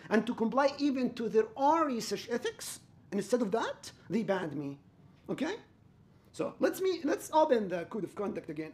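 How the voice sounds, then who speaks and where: A man giving an aggrieved, repetitive commentary on his own dispute, addressing viewers directly. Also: high voice. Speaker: student telling his own complaint. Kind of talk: personal commentary over recordings.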